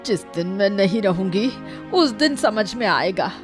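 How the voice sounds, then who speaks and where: Elderly granny played by a woman for a cartoon tale: careworn, nagging, unhurried, medium pitch, light music behind. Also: expressive, close to an everyday voice. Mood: scolding. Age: older. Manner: scolding, lamenting. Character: careworn scolding old grandmother, loving but indignant. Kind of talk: Hindi animated story dialogue.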